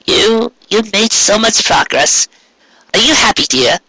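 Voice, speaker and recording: A man voicing a kindly elderly mother pony in a story reading, speaking tenderly and a little haltingly. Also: sits high, lively intonation, sharp tone, no echo, voice pushed up, full character voice, poor audio. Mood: tender, proud. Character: gentle, proud elderly mother mare, a kindly teacher. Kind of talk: storybook character voice in a fan fiction reading.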